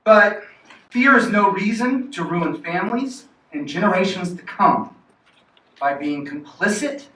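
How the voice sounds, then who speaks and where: A man giving a prepared public comment at a meeting, grave and deliberate. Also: high voice, expressive, pushed and bright. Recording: echoey hall, distant mic, faint noise.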